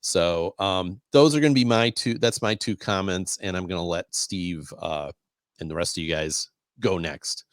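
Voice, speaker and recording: A man's voice: sits low, normal speed, dry recording, studio quality. An easygoing man chatting as a panelist in a casual podcast discussion.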